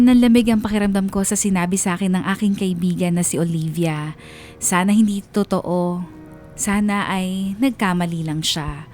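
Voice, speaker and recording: A heartbroken wife played by a woman tells her story as a radio drama letter. Her voice sits at a middling pitch, with music playing underneath. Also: a little mellow, natural voice. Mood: anxious, determined. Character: heartbroken wife narrating her letter about husband's betrayal.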